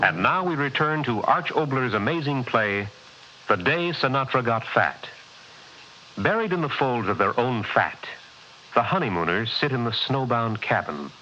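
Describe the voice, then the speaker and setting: A man's voice: mid-range voice, expressive, moderate pace. A man working as a radio announcer, reading dramatic scripted introductions to a radio play. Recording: muffled, noisy old radio recording.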